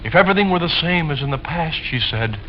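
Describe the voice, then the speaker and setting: Muffled, noisy vintage radio; male actor as a high-pitched, hesitant widower, pleading and creepily obsessed, suspense drama. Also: animated, lightly acted. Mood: eerie, insinuating. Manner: hesitant, insinuating, halting. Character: nervous, pleading, eerily obsessed widower tenant. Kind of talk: old-time radio suspense acting.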